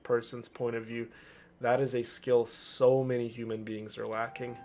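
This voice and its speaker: A man's voice: mid-range voice, level tone, normal speed, darkish tone. A man streaming to a community, talking casually and off the cuff in an earnest, emphatic way, often repeating phrases. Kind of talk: casual unscripted personal talk over gameplay. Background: game music and character voices.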